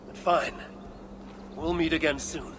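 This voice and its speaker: An actress playing a blunt, proud Viking warrior woman in a video game cutscene, low-voiced and terse, with a Scandinavian accent. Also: steady tone, a little slow, background noise. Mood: blunt, dry. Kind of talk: dramatic game cutscene acting. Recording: game audio with music behind.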